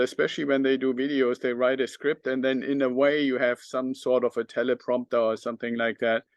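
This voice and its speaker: A middle-aged man with a German accent speaks as a podcast host, addressing his audience without a script. His voice sits at an average pitch for a man, and the sound is dry, with very little room on it. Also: dry recording. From northern Germany. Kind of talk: unscripted talk to the audience.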